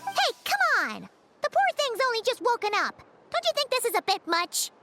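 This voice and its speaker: A woman voicing a small, childlike, outspoken fairy companion in an animated game. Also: extremely high pitch, moderate pace, heavily acted. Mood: startled, indignant. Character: small, excitable floating fairy girl companion. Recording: loud game music behind.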